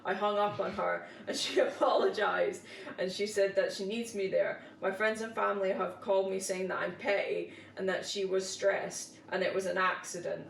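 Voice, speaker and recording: A woman's voice: medium pitch, steady tone, moderate pace, gravelly, few pauses, reverberant, distant mic, a little hiss or hum. A woman co-hosting a casual show, reading online posts aloud and chatting about them. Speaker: podcast co-host reading posts.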